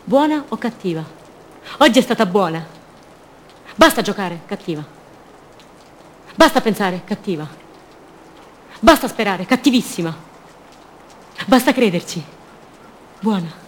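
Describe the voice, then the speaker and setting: A woman's voice: mid-range voice, expressive, unhurried, pauses often, slight background noise, lightly acted. An actress playing a fragile, haunted captive woman in a radio drama, speaking in short fragments and childlike repetitions. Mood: childlike, brittle. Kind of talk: theatrical monologue, radio drama.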